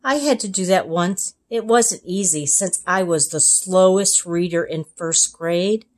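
Encouraging storybook mother, voiced by a woman reader, gentle, reassuring, slow-paced. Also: lowish voice. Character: supportive, encouraging mother of a young girl.

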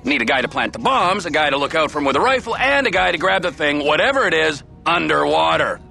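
An actor playing a volatile, crude middle-aged male criminal in a video game, mocking and domineering, swinging from mock-gentle to barking. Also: fairly high pitch, animated, quick pace, creaky, soft background music, heavily acted. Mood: businesslike scheming. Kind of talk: video game cutscene acting.

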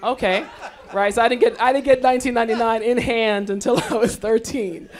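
A woman's voice: fairly high pitch, brisk. A woman in her forties from Trinidad, moderating a panel discussion in a lecture hall with a handheld mic, in a chatty storytelling way. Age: 40s. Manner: chatty, storytelling, animated laughter. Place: lecture hall on a small stage.

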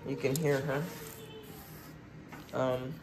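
A woman's voice: rumbling low, very slow, over music, poor audio. A young woman with a slightly nasal, congested voice, chatting casually to her phone camera for a family vlog. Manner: chatty, relaxed, talks to camera. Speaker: family vlogger. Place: car, restaurant and home. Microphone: handheld phone camera. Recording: phone video with background noise.

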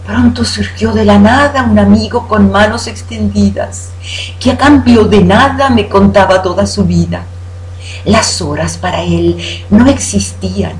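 A woman declaiming a poem in an expressive, deliberate manner with dramatic pauses. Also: medium pitch. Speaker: poetry reciter. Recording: roomy echo with background hum.

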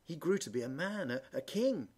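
A woman voicing a kindly, thoughtful old male shoemaker in a storybook, with a husky voice. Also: sits low, sing-song, moderate pace, darkish tone, pitched below the performer's own voice, heavily acted. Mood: musing, wondering. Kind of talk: storybook character reading.

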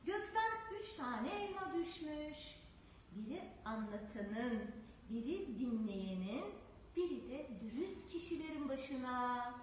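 A preschool teacher reading a folk tale aloud to children in a slow, expressive storytelling manner, recorded in a very echoey room with the voice off-mic. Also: female voice, sits high, recorded from a distance, slight background noise.

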